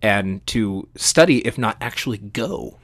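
Man, lowish pitch, podcast co-host, enthusiastic explainer, emphatic phrasing, very dry recording. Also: expressive, a little slow, fairly bright tone, no room sound. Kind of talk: enthusiastic history explainer, conversational.

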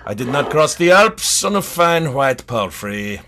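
A man's voice: medium pitch, sing-song, measured pace, a bit bright, slight background noise. A man voicing a pompous, touchy French emperor with a French accent and a theatrical, imperious manner in an improvised comedy sketch. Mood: haughty.

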